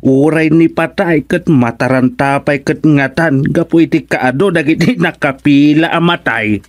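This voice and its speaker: An actor with an Ilocano accent playing a chatty, gossipy village aide in a radio comedy. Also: male voice, fairly high pitch, moderate pace, dry recording, natural voice. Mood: joking. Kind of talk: radio drama comedy acting.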